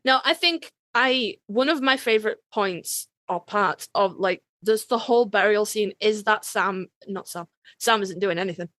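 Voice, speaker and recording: A woman's voice: mid-range voice, normal speed, fairly bright tone, dry recording. A woman hosting a podcast in casual, conversational chat. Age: adult, parent. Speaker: podcast host.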